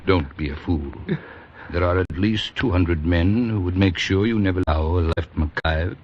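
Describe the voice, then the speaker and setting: A coolly threatening henchman in a 1940s radio thriller. His voice is very deep and slow, deliberate and ominous. The recording is dull and noisy. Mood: quiet menace. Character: calm, menacing male henchman, coolly threatening. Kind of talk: 1940s radio drama acting.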